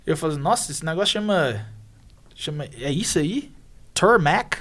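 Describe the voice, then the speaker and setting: A young man who teaches English, a guest on a podcast, telling stories in animated, slangy casual chat. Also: fairly high pitch, moderate pace.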